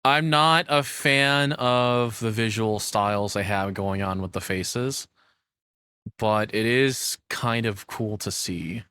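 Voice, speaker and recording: Man, low pitch, casual reaction commentary, informal streamer delivery, dry recording. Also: slow pace, pushed and bright, clean tone, dry recording. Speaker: VTuber reacting to a video.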